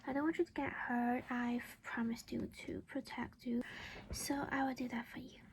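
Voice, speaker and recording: A woman whispering breathily and close to the microphone in a gentle, reassuring ASMR roleplay as a caring, protective vampire mother. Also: on the high side, little pitch movement, normal speed, slight background noise, poor audio.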